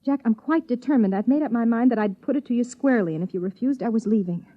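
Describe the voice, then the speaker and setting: Casting: female actor as American spaceman's wife, worried and uncompromising, slightly high voice, muffled 1950s radio recording. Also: fast talker, natural voice. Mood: resolute, hurt. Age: adult. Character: pilot's determined, worried wife, wounded and uncompromising. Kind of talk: 1950s radio drama acting.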